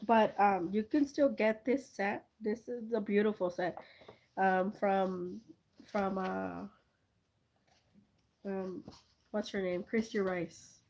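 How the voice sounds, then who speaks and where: Art-channel host, woman, slight German accent, mellow tone, rambling and chatty. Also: medium pitch. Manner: rambling, many fillers and restarts, chuckles. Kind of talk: casual rambling chat while painting.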